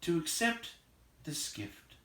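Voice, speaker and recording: A man's voice: slow pace, bright, forceful tone, echoey room. A man voicing a humble, polite, easily flustered hobbit in a storybook, with a hesitant manner. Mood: nervous, bashful. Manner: hesitant, stammering. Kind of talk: storybook character voice.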